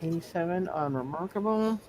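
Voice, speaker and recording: A game master running a live tabletop superhero game, speaking haltingly and often repeating words and phrases. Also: mid-range voice, expressive, a little mellow. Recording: noticeable background noise.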